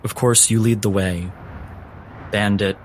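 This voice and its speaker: A man narrating a tabletop game as game master, with deliberate, grave phrasing. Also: fairly low pitch, fairly even intonation, a little hiss or hum.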